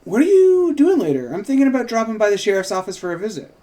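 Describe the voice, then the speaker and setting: A man voicing a sharp-tongued, flirtatious woman in an amateur table read of a fantasy TV script. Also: sits high, big pitch swings, quick pace, pitched above the performer's own voice. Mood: playful.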